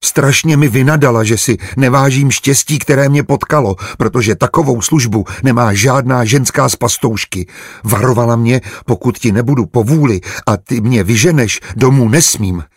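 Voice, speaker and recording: Guy doing a young servant girl in an audiobook, naive n earnest, pitched up, hurried, bit husky. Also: no echo, studio quality. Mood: hurried, confiding. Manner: hurried, pleading. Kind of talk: audiobook character voice.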